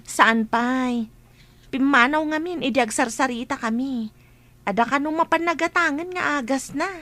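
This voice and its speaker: Young woman, high voice, chatty, considerate, conversational radio drama. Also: normal speed, bright, forceful tone, dry recording. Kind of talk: Ilocano radio drama acting.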